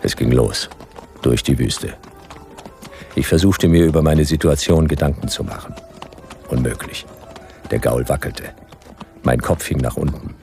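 An actor playing a laconic, weary private detective in a German radio crime drama, terse and deadpan. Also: male voice, rumbling low, unhurried, long pauses, soft background music. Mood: dry, deadpan. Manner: terse, deadpan first-person narration.

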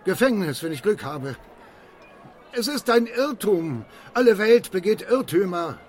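A fatalistic man with something to hide in a radio drama. The voice is on the high side for a man. He sounds evasive and defensive, and grows halting under pressure. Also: very animated. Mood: anxious. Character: evasive, fatalistic, jittery man hiding a criminal past.

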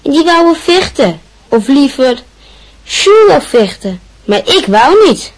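A woman's voice: high-pitched, very animated, a little slow, low-quality recording. A woman playing a shy teenage schoolboy who talks in a hesitant, defensive way, in a radio play.

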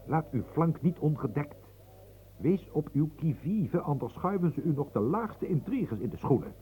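A man's voice: on the high side, very animated, normal speed, dark tone, poor audio. An actor playing a loyal adviser in a radio play who pleads earnestly and warns his master. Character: loyal adult male adviser, urgent and warning.

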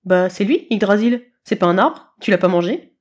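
Storybook kitten , female reader: bold would-be adventurer, excitable, loud and grandiose. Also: medium pitch, moderate pace, close to an everyday voice. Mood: matter-of-fact. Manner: grandiose, exclaiming.

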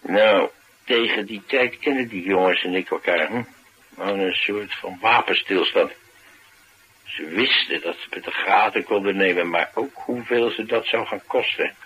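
An actor playing a tough, cynical, streetwise bodyguard in a radio play, talking in a colloquial, matter-of-fact way. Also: male voice, lowish voice, unhurried, bright, forceful tone, slight background noise, rough recording. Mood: wry, candid. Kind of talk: naturalistic radio drama dialogue.

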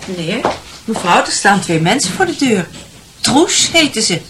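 An actress playing a busy, plain-spoken household servant with a homely, bustling manner in a radio drama. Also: female voice, medium pitch, sing-song, normal speed, noisy background, lightly acted. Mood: dutiful. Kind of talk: Dutch radio drama acting.

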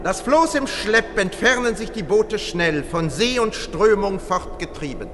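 A man's voice: high-pitched, animated, unhurried. A man delivering dramatic scripted narration for a radio piece. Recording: long reverb under loud music.

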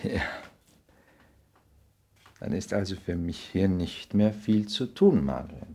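An actor playing a cynical, polished aristocratic lord in a radio play, condescending and deliberate. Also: male voice, sits low, expressive, dark tone, breathy edge, full character voice. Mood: nonchalant, cruel. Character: cynical aristocratic English lord, suave and self-regarding. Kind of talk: 1960s radio play acting.

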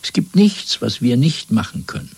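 An actor playing a coldly domineering party interrogator in a German radio play, lecturing in a measured, zealous way with a gravelly, husky voice. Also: male voice, mid-range voice, very animated, no echo, heavily acted. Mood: fanatical fervour. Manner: measured, lecturing, swelling from hushed to fervent.

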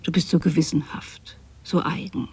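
A woman's voice: sits low, steady tone, normal speed, darkish tone, breathy edge, full character voice. An actress playing a lonely, possessive widowed mother in a radio drama, speaking a letter to her absent son in a tender, plaintive way. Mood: tender, wistful. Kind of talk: intimate radio drama monologue, spoken as a letter.

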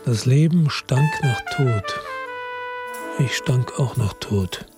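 An actor playing a world-weary police chief, narrating in a slow, measured, tired way in a radio drama. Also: male voice, medium pitch, over loud music, lightly acted. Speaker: first-person narrator.

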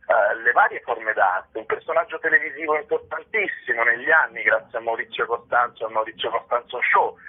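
Male interview guest, raspy voice, rhetorical and explanatory, heard over a narrow phone connection. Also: mid-range voice. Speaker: playwright and director, interview guest. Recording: tinny, narrow telephone line.

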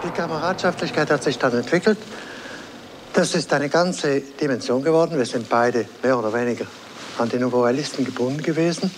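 A man, an artist, reminiscing spontaneously in an interview, halting and searching for his words. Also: medium pitch, noisy background. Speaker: artist recalling a collaborator.

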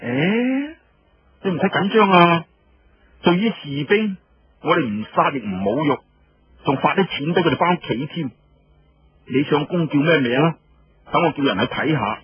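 A man's voice: a little high, animated, normal speed, pauses often, muffled recording. A man voicing a brash, teasing woman general with a Cantonese accent, blunt and mocking in a radio story. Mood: reassuring, brisk. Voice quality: husky.

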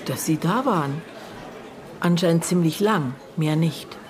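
Reserved middle-aged woman, lowish voice, measured, reflective, radio drama, faint restaurant chatter behind. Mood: guarded. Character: reserved middle-aged woman, estranged sister recalling family tragedy. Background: busy restaurant.

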